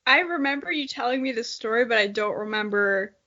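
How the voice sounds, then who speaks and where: A woman's voice: a little high, fairly quick, bright, forceful tone, muffled recording. A woman co-hosting a podcast in casual chat, telling stories in a jokey way with some casual swearing. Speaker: podcast co-host.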